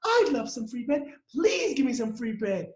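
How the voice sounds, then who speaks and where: A man voicing a delighted wife who gushes loudly in a high voice. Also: very animated, normal speed, reverberant. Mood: gushing. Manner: high, gushing, loud. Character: delighted wife, eager for a treat.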